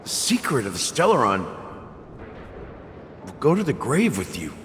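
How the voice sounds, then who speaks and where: A man playing a blunt, gruff but caring friend in a video game cutscene. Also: mid-range voice, wildly swooping pitch, moderate pace, fairly bright tone, big-room sound, slight background noise. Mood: grave, concerned.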